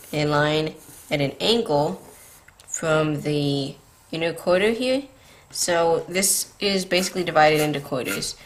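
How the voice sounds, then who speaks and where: A woman hosting a drawing tutorial, explaining patiently step by step, her voice tired and scratchy from a late night. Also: sits low. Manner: patient, beginner-friendly explaining.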